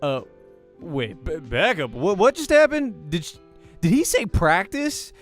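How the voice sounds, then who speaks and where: A man playing an eager young aspiring pro gamer, excitable and stammering, in a visual novel. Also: high-pitched, unhurried, faint music behind, pitched above the performer's own voice, heavily acted. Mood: flustered, thrilled.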